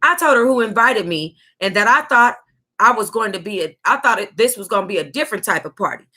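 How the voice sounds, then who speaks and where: An American woman reading a memoir aloud as a book club host, with sassy, exclamatory asides and drawn-out emphasis. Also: mid-range voice, expressive, pushed and bright.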